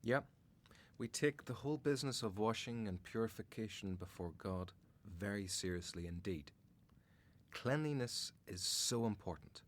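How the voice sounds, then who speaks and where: A man's voice: fairly low pitch, expressive, moderate pace. A man playing a devout, helpful member of a desert community, explaining sincerely in a radio drama. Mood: earnest. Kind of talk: religious radio drama acting.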